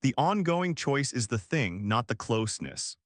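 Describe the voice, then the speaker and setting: A man's voice: medium pitch, very animated, dry recording, studio quality. A man voicing a formal, careful alien envoy. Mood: earnest. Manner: precise, formal, measured speech. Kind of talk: scripted audiobook narration.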